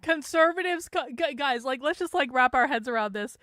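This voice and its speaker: A woman's voice: sits high, expressive, normal speed, very noisy. A middle-aged woman with a Vermont accent touched with Boston, hosting a live stream and reacting bluntly to a documentary. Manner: blunt, swearing, incredulous. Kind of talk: casual reaction commentary.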